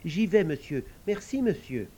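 A man's voice: a little high, very animated, normal speed, warm tone, muffled recording, a little hiss or hum, pitched above the performer's own voice. A man voicing a small, polite young male devil, earnest and timid. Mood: earnest pleading.

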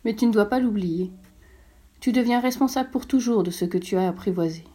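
Brief: woman reading as a calm wise fox from a storybook, mellow unhurried voice. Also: medium pitch, breathy edge, lightly acted.

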